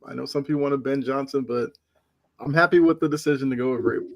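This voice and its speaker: A man on a casual sports podcast panel who explains his points at length in a measured way. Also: medium pitch, creaky.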